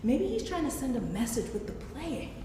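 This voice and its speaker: Young woman playing anxious sarcastic guy in a stage play, dramatic n quippy, highish voice, super echoey room. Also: very animated, moderate pace, mellow. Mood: suspicious. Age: young adult. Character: Wes, anxious, sarcastic man cooped up at home, fond of his partner. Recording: echoey hall, far mic, faint noise.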